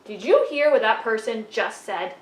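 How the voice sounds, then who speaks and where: A touchy, indignant sheep, voiced by a woman, protests in a huffy, defensive tone and keeps repeating her questions. The voice sits a little high and rings in an echoey church room. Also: very animated, normal speed. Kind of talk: church puppet show.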